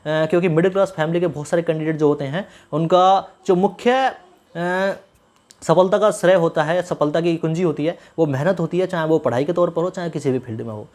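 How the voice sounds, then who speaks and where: A man talks at a quick clip, like a YouTuber briefing exam candidates. He explains things informally and gives instructive advice, often restating a phrase to drive it home. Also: fairly high pitch, darkish tone. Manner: instructive, repeats phrases for emphasis.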